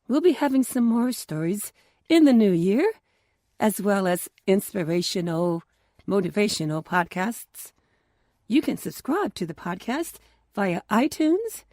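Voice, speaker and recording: A woman tells a children's story at a slow, measured pace, her pitch moving widely. Her delivery is expressive and deliberate, with hushed touches, in a very dry, close recording. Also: no echo. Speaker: storyteller and podcast host. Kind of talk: scripted children's story narration.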